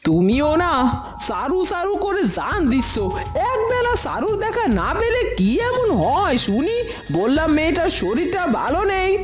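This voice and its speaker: High-pitched scheming cartoon stepmother. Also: huge pitch swings, normal speed, loud music behind the voice, exaggerated voice. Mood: annoyed. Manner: scolding, sharp-tongued. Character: scheming, irritable village stepmother, a middle-aged wife. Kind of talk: Bengali cartoon dubbing.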